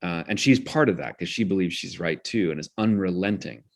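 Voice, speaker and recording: A man explaining his ideas in an interview in an articulate, analytical way, with measured phrasing. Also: low-pitched, gravelly. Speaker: guest, theater director.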